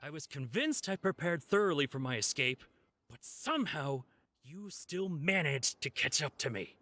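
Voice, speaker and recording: Excitable anime-game thief: sharp tone, lively pitch swings, melodramatic exasperation. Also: male voice, moderate pace, full character voice. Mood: grudging confession. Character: boastful, excitable male thief, easily exasperated. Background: background music.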